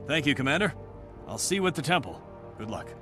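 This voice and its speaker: A man playing a steady, dutiful military tactician in a video game, speaking crisply. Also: medium pitch, a little fast. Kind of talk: video game cutscene acting. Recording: loud game music behind.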